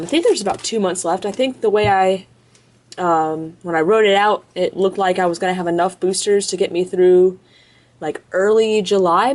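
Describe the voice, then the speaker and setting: Chatty woman listing off card names. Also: on the low side. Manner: chatty, lists card names quickly in a row. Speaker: card-opening video host. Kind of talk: casual commentary while opening card packs. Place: indoors at a table, off camera behind hands.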